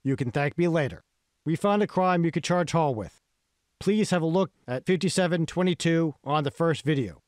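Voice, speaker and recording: A man's voice: a little high, moderate pace, dry recording, clean studio sound, pitched above the performer's own voice, natural voice. A man voicing a scheming, menacing tech billionaire in an audiobook, pointed and emphatic. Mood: needling, smug. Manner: pointed, emphatic, rhetorical questioning.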